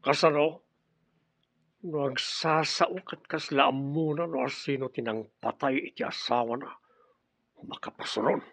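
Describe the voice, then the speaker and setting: A man's voice: mid-range voice, steady tone, measured pace, pauses often, a bit bright, no echo, exaggerated voice. A man with an Ilocano accent playing a domineering, irritable and two-faced crime boss uncle in a radio drama. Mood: irritated, menacing. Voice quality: gravelly. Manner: domineering, curt.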